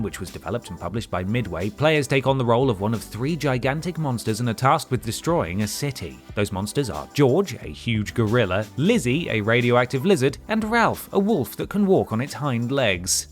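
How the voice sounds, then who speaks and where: A man hosting a countdown video, reading scripted narration with wry, sarcastic asides and emphatic delivery. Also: medium pitch.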